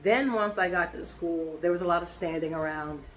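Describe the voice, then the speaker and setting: A woman with a hoarse voice from laryngitis, chatting casually in a personal vlog and rambling with many ums and restarts. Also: fairly low pitch, brisk, a little hiss or hum, low-quality recording. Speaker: vlogger.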